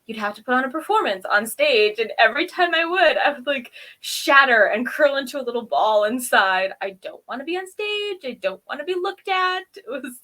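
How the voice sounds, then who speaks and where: Female review co-host, slightly high voice, informal conversational delivery with self-deprecating storytelling. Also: lively intonation, normal speed, few pauses, bright, forceful tone. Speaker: podcast co-host reviewing TV episodes. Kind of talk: casual chat.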